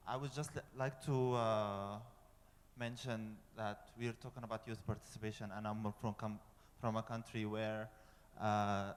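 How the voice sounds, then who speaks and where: A young man with an Egyptian accent earnestly putting a question from the audience at a public forum, restarting phrases as he goes. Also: lowish voice. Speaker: audience member asking a question. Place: large conference hall. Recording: reverberant conference hall.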